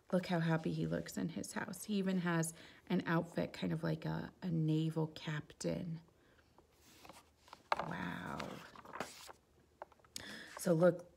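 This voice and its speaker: Low-pitched woman reading a storybook aloud. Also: vocal fry. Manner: expressive, exclaiming softly at the pictures. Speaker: teacher reading a picture book aloud. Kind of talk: animated children's storytime read-aloud with casual asides.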